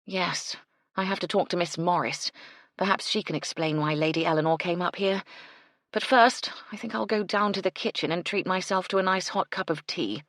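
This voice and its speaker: A woman voicing a composed, self-assured English lady of the house in a storybook, measured and commanding. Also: fairly low pitch, fairly bright tone, no echo, clean studio sound. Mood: composed, musing.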